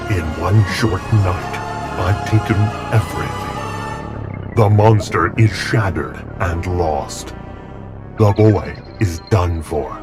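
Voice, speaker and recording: A man playing a cold, imperious, scheming supervillain who makes pompous, theatrical pronouncements in a superhero drama. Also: sits low. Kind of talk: scripted superhero audio drama.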